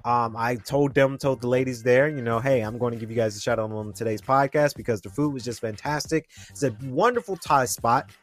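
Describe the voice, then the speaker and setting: A man's voice: medium pitch, fairly quick, few pauses. Chatty young man hosting a podcast. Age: 20s. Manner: rambling, chatty, addresses listeners directly. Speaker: podcast host. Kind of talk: casual solo podcast storytelling.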